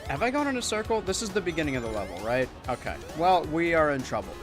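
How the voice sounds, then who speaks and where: A young American man with a monotone voice, chatting loosely while streaming a video game, rambling with many restarts. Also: fairly high pitch, fast talker, over music. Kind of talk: casual gameplay chat.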